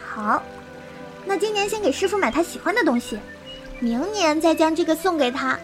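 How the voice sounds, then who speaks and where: An actress playing a willful, lively young girl disciple in a Chinese wuxia audio drama, with a cute, pouty, childlike manner. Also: extremely high pitch, normal speed, music in the background. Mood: thoughtful.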